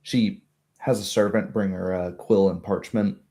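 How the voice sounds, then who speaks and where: A man narrating a tabletop roleplaying game as game master, improvising in a halting way. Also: sits low, fairly even intonation, mellow.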